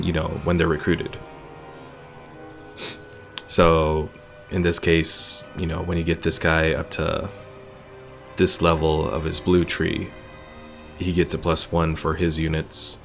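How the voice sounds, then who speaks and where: Low, gravelly male game streamer. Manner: chatty, thinking aloud, explains mechanics. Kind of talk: casual live gameplay commentary.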